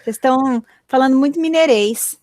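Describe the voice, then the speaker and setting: High-voiced teacher explaining grammar online. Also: female voice, a little mellow, no room sound. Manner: explains slowly, checks in with "tá?". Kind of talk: online class lecture. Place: home, online video class. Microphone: computer mic on a video call.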